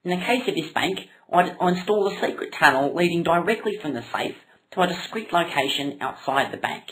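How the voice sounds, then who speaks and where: A woman's voice: on the low side, expressive, a little fast, natural voice, poor audio. A woman voicing a thin, pedantic male engineer with an annoying nasal voice in a comic puppet show. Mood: pompous, earnest.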